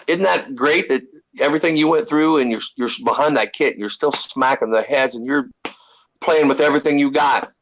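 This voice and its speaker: A man in his forties chatting casually on a video call, rambling with halting restarts in a laid-back way. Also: medium pitch, a bit bright, lo-fi sound. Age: 40s. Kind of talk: casual chat.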